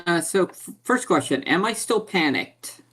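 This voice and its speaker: A man's voice: high voice, lively intonation, normal speed, bright, forceful tone, breathy edge. A man chatting casually as a player in a tabletop roleplaying game.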